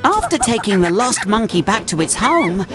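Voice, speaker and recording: A woman's voice: medium pitch, very animated, normal speed, natural voice. A woman voicing a cheerful, curious young male talking steam engine, telling stories earnestly and expressively to children in a cartoon game. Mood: playful, inviting.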